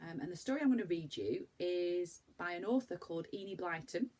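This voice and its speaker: A woman reading a children's story aloud like a primary school teacher, with animated, dramatic emphasis for young listeners. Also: lowish voice, fairly quick.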